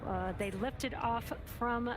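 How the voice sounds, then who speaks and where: A woman's voice: on the high side, slow. A woman giving live commentary for a spaceflight broadcast, explaining things for viewers.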